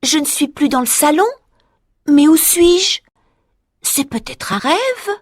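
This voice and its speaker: A lady reading as a little girl, high squeaky voice, all wide-eyed and breathless, close dry mic. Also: measured pace, no echo. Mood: wondering. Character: young girl, eager and wondering.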